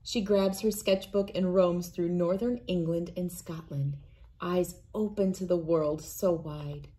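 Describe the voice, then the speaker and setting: A woman in her thirties reading a children's picture book aloud in a deliberate, expressive storytelling manner. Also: on the low side. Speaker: read-aloud host. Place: indoors, wood-panelled room with large windows.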